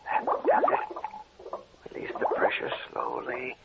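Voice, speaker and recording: A man's voice: takes it slowly, natural voice. A male actor plays a commanding scientist at work in a vintage radio horror play. He gives clipped, urgent orders with methodical focus. The sound is dull and buried in heavy noise. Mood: intent, urgent. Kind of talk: 1940s radio drama acting.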